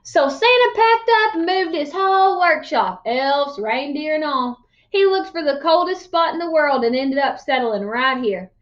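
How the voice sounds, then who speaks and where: Woman as a folksy old storyteller man, high-voiced. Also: expressive, lo-fi sound. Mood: folksy, boastful. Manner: folksy, emphatic, drawn-out exaggeration. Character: crotchety old country man spinning tall tales. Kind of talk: storybook read-aloud character voice.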